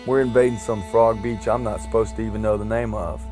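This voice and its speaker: A man's voice: fairly low pitch, fairly even intonation, fairly quick, darkish tone, soft background music, lightly acted. An actor playing a young soldier narrating letters to his brother, plain and wry. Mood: wistful. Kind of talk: reflective voice-over. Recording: game score beneath the voice.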